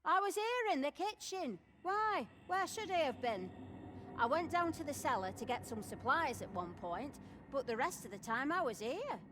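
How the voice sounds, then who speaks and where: An actress voicing a brusque, suspicious, judgmental kitchen nun in a video game, curt and defensive in manner. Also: female voice, sits high, sing-song, normal speed, fairly bright tone. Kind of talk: voiced video game dialogue.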